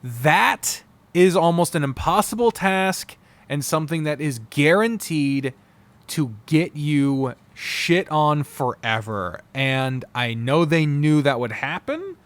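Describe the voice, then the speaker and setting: A man giving an unscripted, casual review, blunt, profane and opinionated, often halting, digressing and trailing off mid-sentence. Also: fairly high pitch, expressive. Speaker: visual novel reviewer.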